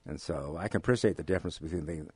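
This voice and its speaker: An American man being interviewed, speaking in a halting, measured way with formal, academic wording. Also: sits low, a little mellow, breathy edge, dry recording. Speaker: interview guest, amateur radio drama actor. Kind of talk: relaxed interview conversation.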